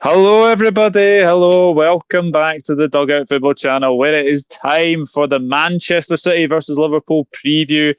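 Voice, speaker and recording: Male football host, smooth clear voice, polite, repeats phrases, dry close sound, slightly dull audio. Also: fairly high pitch, fairly even intonation, moderate pace, hardly any pauses, dry recording. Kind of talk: football preview interview.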